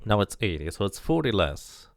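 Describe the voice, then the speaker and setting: A man's voice: on the low side, big pitch swings, normal speed, creaky, dry recording, studio quality. A man giving casual game commentary, thinking aloud as he plays and reading bits of game text out. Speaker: streamer playing and narrating a game.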